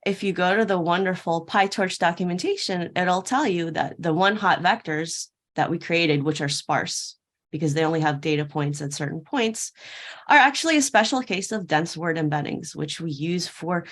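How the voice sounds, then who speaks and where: Woman giving a storytelling conference talk, lowish voice. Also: animated, normal speed. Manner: storytelling, poses rhetorical questions and answers them. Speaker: keynote speaker at a tech conference. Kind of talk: prepared conference talk told as a fairytale parable.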